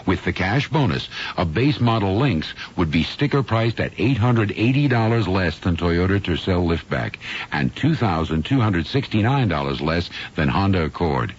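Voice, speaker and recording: A male announcer reads a scripted car commercial. His voice is deep and husky, with lively intonation. The old radio recording sounds muffled.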